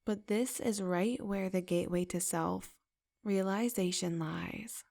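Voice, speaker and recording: A woman leading a guided meditation in a soft, unhurried, affirming way. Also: mid-range voice, vocal fry.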